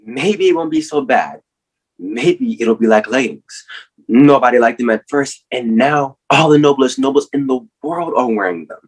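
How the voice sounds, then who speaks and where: A man's voice: mid-range voice, normal speed, breathy edge, lightly acted, low-quality recording. Man playing a mild, silly, reassuring companion. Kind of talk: comic stage play reading.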